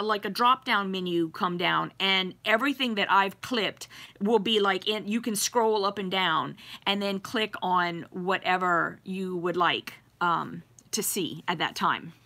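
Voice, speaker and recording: Bright-voiced chatty woman on a home livestream. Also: medium pitch, a little slow. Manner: rambling, chatty, many fillers and giggles. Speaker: YouTube livestream host. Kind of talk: casual live-stream chat with viewers. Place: home craft room with fabric shelves.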